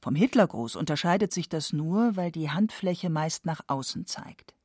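A woman's voice: sits low, lively intonation, normal speed, a little mellow, dry recording, studio quality. A woman reading scripted narration as a documentary narrator.

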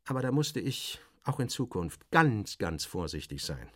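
A man with a fairly low voice narrates a literary story in the first person. His delivery is wry and theatrical, swinging widely in pitch over clipped phrases. The recording is close and dry. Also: sing-song, unhurried, fairly bright tone, slightly rough, dry recording. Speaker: narrator in character as a journalist.

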